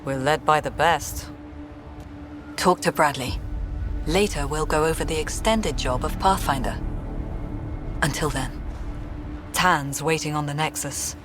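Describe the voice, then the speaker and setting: An actress playing a guarded, skeptical colonial official in a video game, speaking in a measured, dry, candid way. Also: female voice, low voice, over music. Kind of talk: video game dramatic dialogue.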